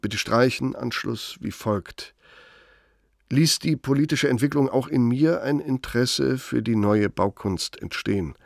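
An actor playing an aging, reproachful former Nazi-era architect in a radio play monologue, speaking in a measured, formal way with deliberate pauses. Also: male voice, medium pitch, gravelly. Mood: reflective, self-justifying. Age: older. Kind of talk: dramatic radio play monologue addressed to an old colleague.

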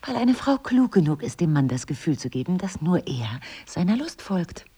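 An actress playing a cool, teasing, evasive wife in an intimate audio drama, speaking with measured, coy irony. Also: female voice, fairly low pitch, sing-song, mellow, slightly rough. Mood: mocking, knowing. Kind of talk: intimate two-person audio drama acting.

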